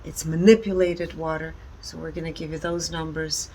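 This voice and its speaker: A woman giving a conversational, explanatory presentation in deliberate short phrases. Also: sits low, flat delivery, darkish tone. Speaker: speaker presenting energy readings. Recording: background ambient noise.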